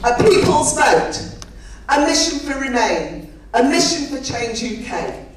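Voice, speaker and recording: A woman with a high voice gives a rousing, rallying campaign speech. She is picked up from far off in a strongly echoing hall. A soft hiss runs beneath her voice. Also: very animated, unhurried. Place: large indoor hall at a rally. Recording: echoey hall, mic from afar.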